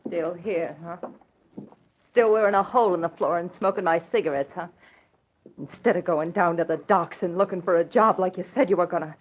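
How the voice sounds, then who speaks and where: A woman's voice: lowish voice, a little fast, lightly acted. A woman playing a bitter, scornful wife in a 1940s radio drama, needling and sarcastic. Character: bitter, scornful, defeated wife in a poor tenement. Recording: dull old radio broadcast recording.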